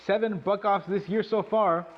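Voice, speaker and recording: A high-pitched man's voice, an actor as a keyed-up rodeo commentator in a radio drama. He calls the action dramatically to build suspense. The broadcast sound is somewhat dull. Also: steady tone, normal speed, close to an everyday voice. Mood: excited, suspenseful. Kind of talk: 1980s sports commentary acting.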